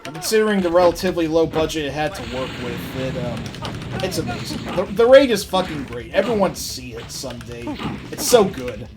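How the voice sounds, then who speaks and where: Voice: mid-range voice, very animated, a little fast. A streamer chatting casually while playing, rambling in an offhand way and swearing freely. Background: game music.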